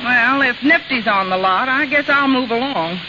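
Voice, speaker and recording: A woman's voice: fairly high pitch, moderate pace, lightly acted. An actress playing a hard-boiled, scornful carnival woman in a 1930s radio drama, sardonic and slangy. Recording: dull, noisy 1930s radio broadcast.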